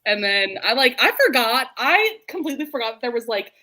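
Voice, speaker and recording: A woman hosting a book discussion in a casual, animated way, full of "like" and quick to laugh. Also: on the high side. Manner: animated, laughs readily while talking; informal, heavy use of "like". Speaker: host of a book readalong live show. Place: remote group video call.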